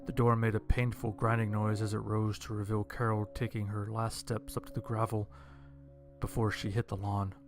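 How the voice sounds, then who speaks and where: A man's voice: lowish voice, flat delivery, moderate pace, music in the background. A man narrating a scripted first-person horror-comedy story in a dry, wry manner.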